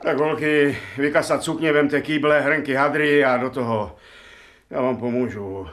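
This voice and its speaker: An actor in a radio play playing a brisk, practical working-class handyman, matter-of-fact and colloquial. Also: mid-range voice, fairly even intonation, a bit bright, slight background noise, natural voice. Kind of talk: theatrical radio drama acting.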